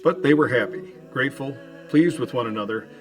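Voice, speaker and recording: A man's voice: mid-range voice, fairly quick, faint music behind, lightly acted, poor audio. A man playing the earnest storyteller, narrating an old-time radio drama. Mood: measured, dramatic. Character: earnest storyteller of a Victorian tale.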